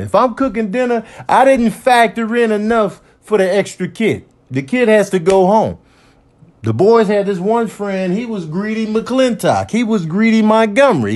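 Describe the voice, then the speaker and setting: A man with a high voice riffs casually in a slangy, conversational way. He comments as a parent, spinning stories with comic mock indignation.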